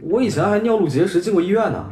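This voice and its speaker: A man's voice: high voice, animated, racing, darkish tone. A man playing a reassuring, practical friend in an audio drama, talking casually and persuasively. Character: reassuring, practical male friend giving advice. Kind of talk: audio drama acting, conversational. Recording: roomy echo.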